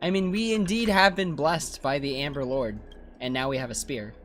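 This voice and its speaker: A man's voice: on the high side, normal speed, a bit bright. A man doing casual, chatty playthrough commentary as a game streamer, musing aloud. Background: faint game music.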